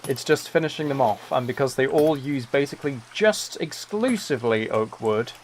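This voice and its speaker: A man's voice: mid-range voice, expressive, moderate pace, a little hiss or hum. A man chatting casually as he hosts a live game stream, rambling along and commenting on himself with a lightly wry manner. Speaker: streamer, host. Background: background music.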